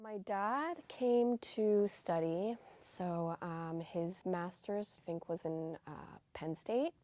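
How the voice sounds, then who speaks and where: A young woman who is a college student, answering interview questions in a soft, thoughtful, casual way. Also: fairly low pitch, slow, no room sound. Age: young adult.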